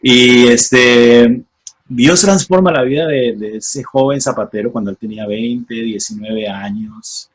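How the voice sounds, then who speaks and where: Husky Costa Rican man, unhurried testimony. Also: medium pitch. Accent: Costa Rican Spanish. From Costa Rica. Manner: unhurried, reflective, many fillers and restarts. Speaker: guest, former missionary. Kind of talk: casual radio interview, testimony.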